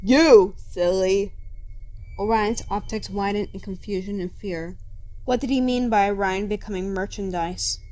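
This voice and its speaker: Female storyteller, medium pitch, scripted dramatic narration, faint background music. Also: steady tone, normal speed, a little mellow.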